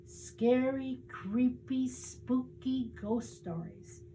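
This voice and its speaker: An older woman plays an excitable storybook boy bursting with plans. The voice is high and emphatic, with some noise behind it. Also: extremely slow, rough recording. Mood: spooky, eager. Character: eager young boy full of big sleepover plans.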